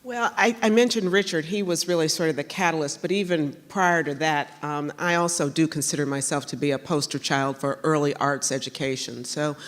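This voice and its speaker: A woman speaks as a panel guest in a museum auditorium. She is measured and thoughtful, pausing with the odd filler. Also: deep voice, steady tone, long reverb tail. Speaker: art collector, panel guest. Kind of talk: public onstage conversation.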